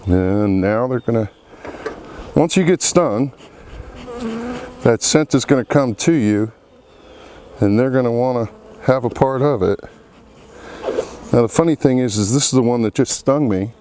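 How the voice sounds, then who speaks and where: A man with a cold and a froggy, congested voice, talking slowly through a beehive inspection outdoors among buzzing bees. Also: mid-range voice, mellow, slight background noise. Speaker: beekeeper. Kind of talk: casual hive inspection commentary. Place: outdoor apiary.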